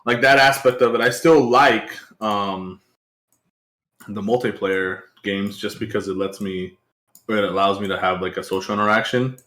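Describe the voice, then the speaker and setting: Bright-voiced laid-back gamer man. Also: fairly even intonation, normal speed, roomy sound. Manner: joking, acts out quoted voices with big pitch jumps. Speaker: podcast guest. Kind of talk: casual chat.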